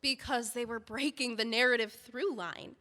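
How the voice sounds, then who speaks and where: A woman's voice: a little high, steady tone, moderate pace, pushed and bright, in a large hall. A woman reading the part of an exasperated book editor in a staged reading of an audio play, scolding urgently. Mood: earnest.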